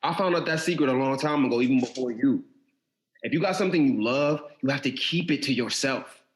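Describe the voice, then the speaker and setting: A man's voice: mid-range voice, a little fast, close to an everyday voice. Brooding younger brother, acted by a man, echoey room. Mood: intense, insistent. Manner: earnest, informal, wounded. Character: Shawn, brooding, insecure younger brother, artistic. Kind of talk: audio drama acting. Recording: echoey, roomy sound.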